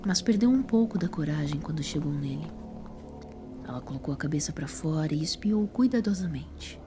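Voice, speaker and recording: A woman's voice: sits low, animated, brisk, over music. A woman with a Brazilian accent reading scripted narration for a dramatic audio story.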